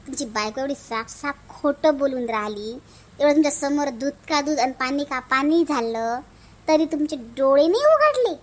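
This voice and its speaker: A woman plays a righteous, outspoken young neighbour in a cartoon family drama. The pitch is extremely high. She moralizes with emphatic reproach. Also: a bit bright.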